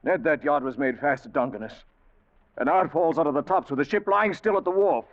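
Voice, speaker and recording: An actor playing a haunted, grieving but resolute sea captain in an old-time radio drama, grave and halting. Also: male voice, fairly high pitch, natural voice. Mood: anguished. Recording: muffled vintage radio recording.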